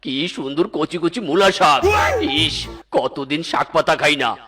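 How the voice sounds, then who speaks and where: A man's voice: fairly high pitch, animated, measured pace, sharp tone, recorded from a distance, faint music behind, exaggerated voice. A man voicing a greedy, demanding tiger uncle in a comic cartoon, speaking with emphatic relish. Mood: eager.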